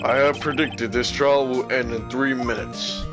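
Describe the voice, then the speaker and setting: A man's voice: medium pitch, fairly even intonation, measured pace, lightly acted. Guy doing a bossy arrogant prosecutor, stern, takes his time, game voice with music behind. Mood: impatient. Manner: stern, imperious. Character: arrogant, domineering male prosecutor, impatient and commanding.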